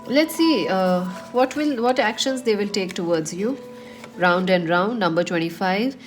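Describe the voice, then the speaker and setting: A woman's voice: mid-range voice, animated, unhurried, faint music behind. A woman with a cold and a slightly nasal voice, giving a chatty tarot reading to her viewers with many fillers. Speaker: tarot reader.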